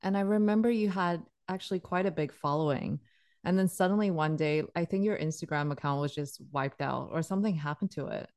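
A woman's voice: sits low, fairly quick, darkish tone, no room sound, studio quality. A woman hosting a podcast, asking a guest questions in a laid-back, conversational way. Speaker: podcast host. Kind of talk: casual interview questions.

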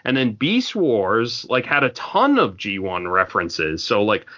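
A man co-hosting a fan podcast, rambling through his points with many fillers. His voice is bright and forceful, and the recording sounds a little dull. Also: fairly low pitch, very animated, measured pace. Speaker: podcast co-host. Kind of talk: casual chat.

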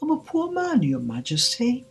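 A woman's voice: fairly high pitch, very animated, unhurried, darkish tone, faint music behind, voice pushed up. A woman voicing a timid, wretched male hatter in a storybook, nervous and pleading, with a trembling voice.

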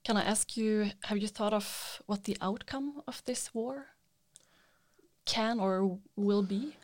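A woman's voice: mid-range voice, slow pace, darkish tone. A woman asking questions in a conversational interview.

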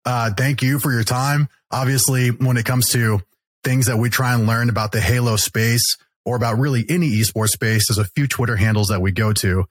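A man's voice: mid-range voice, steady tone, a little fast, no room sound. Texan male podcast host, dry mic. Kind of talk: podcast interview.

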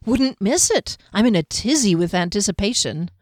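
A woman's voice: medium pitch, animated, normal speed, dry recording, close to an everyday voice. Female narrator as young woman warrior, playful, sarcastic, breezy mock cheer, fantasy audiobook, dry close sound. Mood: mock cheerful.

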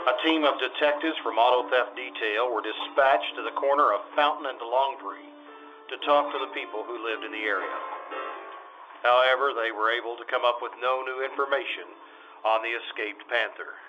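A man playing a calm, businesslike police detective in a 1950s-style radio drama, clipped, deadpan and matter-of-fact. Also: mid-range voice, lightly acted. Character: calm, businesslike male police detective narrating his case. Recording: dull radio-play recording with music.

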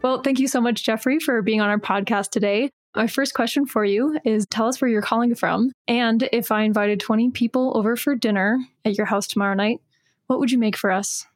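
A woman's voice: a little high, steady tone, a little fast, dry recording, clean studio sound, faint music behind. A woman hosting a podcast, introducing her guest in a friendly, upbeat, chatty way. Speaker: podcast host, program manager.

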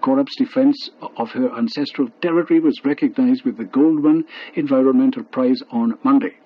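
A man's voice: mid-range voice, brisk, breathy edge. A man reading scripted news reports as a radio newsreader. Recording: dull lo-fi shortwave radio broadcast.

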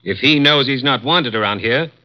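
An actor playing a cold, petty, domineering executive with a curt, clipped manner in 1950s radio drama. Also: male voice, medium pitch, normal speed, natural voice. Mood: imperious. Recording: dull lo-fi 1950s radio broadcast.

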